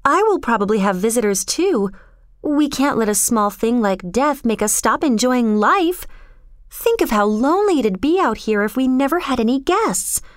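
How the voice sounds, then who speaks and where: A woman's voice: a little high, expressive, normal speed, no echo, clean studio sound, pitched above the performer's own voice, close to an everyday voice. A woman storyteller voicing a spoiled rich young girl, emotional and blithely callous, in a dramatic, pleading manner. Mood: breezy, defensive.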